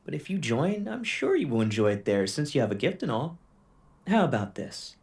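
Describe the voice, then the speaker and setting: A man's voice: mid-range voice, very animated, normal speed, darkish tone. A young man playing a reassuring, polite agent in his mid-twenties in an audio drama. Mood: calm, reassuring.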